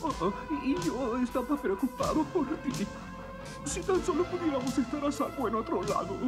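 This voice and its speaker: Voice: high-pitched, animated, moderate pace. A performer voicing a small, cheeky, indignant winged forest creature in a Latin American Spanish cartoon dub. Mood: worried. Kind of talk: Spanish-dubbed cartoon voice acting. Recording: loud music behind the voice.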